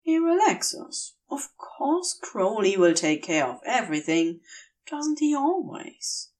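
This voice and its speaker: A woman narrating fiction in a gentle, measured, expressive storytelling style. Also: a little high, recorded from a distance. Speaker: fan fiction audiobook reader.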